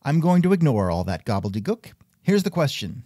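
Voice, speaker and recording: A man's voice: medium pitch, very animated, a little fast, mellow, dry recording, studio quality. A man hosting a scripted comedic interview show, sardonic and increasingly exasperated. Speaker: podcast host.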